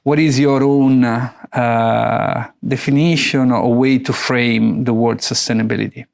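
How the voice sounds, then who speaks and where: A middle-aged man acts as interviewer, asking questions in a conversational style. His voice sits at a medium pitch. He phrases things hesitantly, often searching for the next word. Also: steady tone, unhurried, vocal fry.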